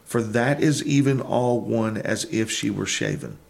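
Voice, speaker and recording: A man, a holiness preacher, teaching on a Bible passage and explaining word meanings in a deliberate way. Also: lowish voice, big pitch swings, creaky, darkish tone. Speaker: holiness preacher on another podcast. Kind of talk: teaching scripture on a podcast.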